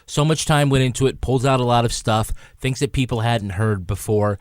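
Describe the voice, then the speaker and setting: A man with an average-pitched voice co-hosts a podcast. He talks informally and conversationally, in the loose style of panel discussion and host-read sponsor spots. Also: normal speed, no echo, clean studio sound. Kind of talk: casual panel review and host-read ad banter.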